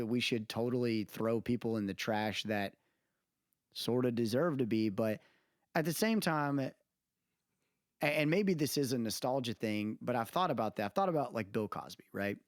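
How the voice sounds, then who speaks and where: A man's voice: medium pitch, animated, a little slow, no echo. Man, podcast co-host, mid-range voice, conversational and emphatic, wandering delivery, very dry sound. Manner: rambling, emphatic, often checks with listener "you know what I mean". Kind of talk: casual opinionated podcast chat. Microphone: close mic on remote podcast call.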